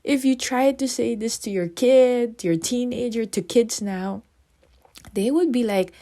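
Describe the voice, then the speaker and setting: Husky-voiced Filipina podcast host. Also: female voice, on the high side, a little slow. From Philippines. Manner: chatty, asks listeners questions, animated asides. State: husky morning voice. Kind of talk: podcast monologue.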